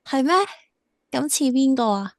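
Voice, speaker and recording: An actress playing a sweet, gentle young woman in love in an audio drama, tender and girlish, with a Hong Kong Cantonese accent. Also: sits high, a little slow. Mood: wistful.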